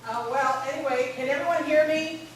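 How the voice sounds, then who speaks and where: A woman's voice: high-pitched, brisk. Chatty woman lecturing in an echoey hall. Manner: strong voice, loose and chatty with many fillers. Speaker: gerontology lecturer. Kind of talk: informal symposium presentation. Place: large echoey college hall. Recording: very echoey hall, distant mic, faint noise.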